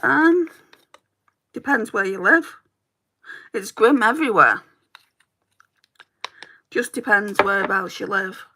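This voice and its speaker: A woman with a northern English Lancashire accent talks her viewers through her makeup routine, replying to their comments as she goes. Her voice is bright and clear, and she takes her time, chatting at an unhurried pace. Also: mid-range voice, lively intonation. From Lancashire, England. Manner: chatty, answers chat comments while doing makeup. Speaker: beauty streamer. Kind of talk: casual makeup chat with viewers.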